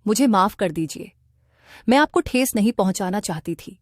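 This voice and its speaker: A woman's voice: medium pitch, lively intonation, moderate pace, dry recording, clean studio sound, lightly acted. A woman voicing an emotional, concerned wife with a guilty, pleading manner in a cartoon. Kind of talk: Hindi cartoon voice acting.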